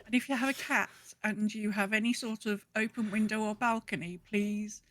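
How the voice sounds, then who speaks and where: A woman's voice: mid-range voice, steady tone, normal speed, a bit bright, dry recording. A woman with a British accent chatting casually as a podcast co-host, telling things in an emphatic, conversational way. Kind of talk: casual chat.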